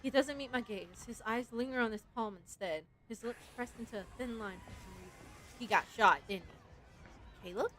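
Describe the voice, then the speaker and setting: A woman's voice: fairly high pitch, fairly quick, fairly bright tone, a little hiss or hum, poor audio. A woman livestreaming a game, chatting casually and reading its story aloud in a playful way. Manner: playful, giggly fan commentary. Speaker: game streamer. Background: game music.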